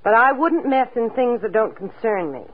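A tinny, faintly noisy old broadcast carries a cool, scheming secretary. Her voice is high and lively in pitch. She is curt and sharp-tongued, in forties radio noir style. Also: moderate pace. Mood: defensive, curt. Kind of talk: 1940s radio crime drama acting.

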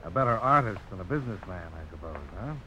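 A man's voice: lowish voice, lively intonation, quick pace, pushed and bright. A man playing a confident, friendly young frontiersman in a radio drama, speaking in an easygoing, inquisitive, folksy way. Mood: friendly, probing. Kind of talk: 1950s radio drama acting. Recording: slightly noisy old radio broadcast.

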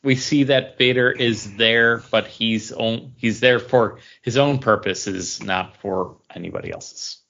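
A man co-hosts a review podcast in an informal, chatty style. His voice is on the low side. The recording sounds a little dulled and lo-fi. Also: takes it slowly, gravelly, hardly any pauses, fairly bright tone. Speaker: podcast co-host reviewing comics. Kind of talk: casual chat.

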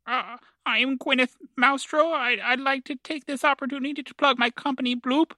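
A man's voice: very high-pitched, fairly even intonation, brisk, sharp tone, no room sound, heavily acted. A man voicing a pretentious, self-promoting female mouse actress with an affected spokesperson manner in a comic audio play. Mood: smug, promotional.

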